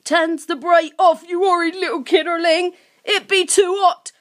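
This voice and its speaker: Woman voicing a high, snappish, bossy little monster. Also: fairly even intonation, moderate pace, close to an everyday voice. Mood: pained, angry. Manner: barking insults in broken rustic grammar. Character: gruff, bossy, snappish male creaker monster.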